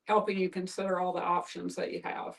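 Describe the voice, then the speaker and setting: A woman's voice: fairly low pitch, little pitch movement, fairly quick, vocal fry. A woman giving casual advice as the guest facilitator of a group discussion. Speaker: guest facilitator, social worker. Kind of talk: casual advisory talk. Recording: distant mic in group discussion.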